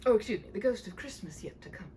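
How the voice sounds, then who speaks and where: A woman hosting a read-aloud, moving between playful chat and dramatic, animated storybook narration. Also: medium pitch, fast, slight background noise. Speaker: channel host reading a classic aloud. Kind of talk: chatty host talk and expressive storybook narration.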